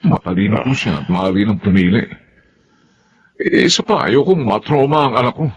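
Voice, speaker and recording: A man's voice: on the low side, very animated, moderate pace, slightly rough, highs cut off. A man acting in a Filipino radio drama as a conflicted young father, earnest and placating. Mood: troubled.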